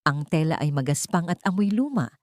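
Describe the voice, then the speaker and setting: A woman's voice: low-pitched, animated, a little fast, darkish tone, no room sound, clean studio sound. A woman reading a dramatic scripted story as its narrator.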